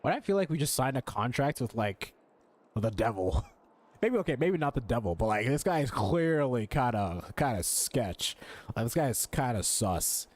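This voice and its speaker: A man's voice: medium pitch, very animated, normal speed. A man giving casual, slangy, incredulous commentary as he streams a game, swearing freely. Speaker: streamer reacting to a game story.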